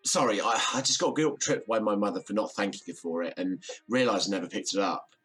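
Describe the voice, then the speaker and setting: An actor playing an awkward office worker in an audio drama, hesitant, apologetic and understated. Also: male voice, on the low side, fast, fairly bright tone, husky, soft background music. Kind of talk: naturalistic audio drama acting.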